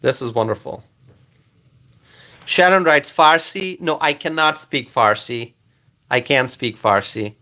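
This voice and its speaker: A man whose first language is Bengali hosts a relaxed live stream and reads out viewer comments. His voice is bright and forward, set at an ordinary middle pitch. He halts now and then on fillers, but he stays friendly and keeps thanking people by name. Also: unhurried, long pauses. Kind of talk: casual live stream chat reading comments.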